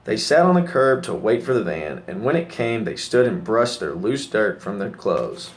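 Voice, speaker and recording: A man's voice: lowish voice, sing-song, moderate pace, a little hiss or hum. A man reading a children's story aloud as a narrator. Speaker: story reader.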